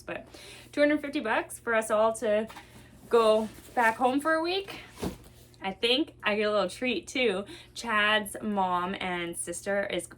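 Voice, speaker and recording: A woman's voice: medium pitch, fairly bright tone. A young woman chats casually in a family vlog, thinking aloud as she goes about a task at home. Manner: informal, thinking aloud while busy. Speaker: vlogger and mother of two young children. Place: indoors at home. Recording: clearly noisy home recording with clicks.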